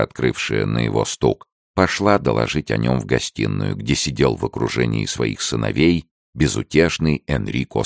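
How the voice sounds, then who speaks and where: A man narrating a novel with a very deep, gravelly voice. His intonation rises and falls with a lively, dramatic reading style. The recording is dry and close. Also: no room sound. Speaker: audiobook reader. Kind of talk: expressive scripted narration.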